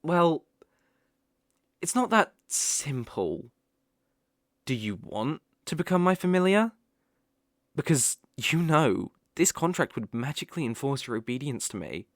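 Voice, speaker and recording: A British man playing a sly, possessive young male kitsune fox spirit in an ASMR roleplay, soft-spoken and coaxing with playful hesitations. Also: fairly high pitch, sing-song, unhurried, long pauses, dry recording, clean studio sound. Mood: coaxing, explanatory. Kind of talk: ASMR roleplay, acted directly to the listener. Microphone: close microphone, dry.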